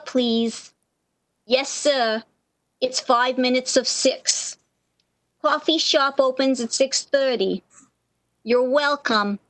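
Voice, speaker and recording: Woman playing 1930s hotel operator, high voice, unhurried, motherly, radio drama. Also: fairly bright tone, exaggerated voice, rough recording. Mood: businesslike. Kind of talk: 1930s radio play acting.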